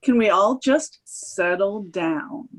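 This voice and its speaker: Woman acting bossy fifties friend, slow swooping delivery. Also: mid-range voice, animated, rough recording. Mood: placating, bossy. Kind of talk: screenplay table read acting.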